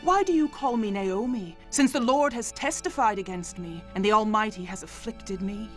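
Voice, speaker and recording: A grieving older widow pleads with sorrow, as an actress plays her in a radio-style drama. Her voice sits mid-pitched, and clear music runs underneath the lines. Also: moderate pace, natural voice. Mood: bitter. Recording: music playing behind.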